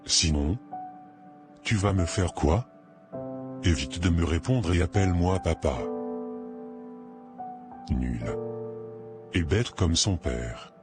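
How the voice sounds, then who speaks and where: Loud music plays behind a very deep male voice. A man acts out a cruel animated stepfather, scornful and domineering, with menace in how he talks down to others. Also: slow pace, long pauses, full character voice. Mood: scornful, menacing. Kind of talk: dramatic dialogue in an animated short.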